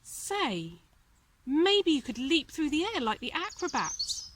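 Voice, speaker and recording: A woman voicing a plucky, excitable little male circus mouse in a storybook, eager and encouraging. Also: high voice, slow, dry recording, voice pushed up, heavily acted. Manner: eager, exclamatory, encouraging pep talk.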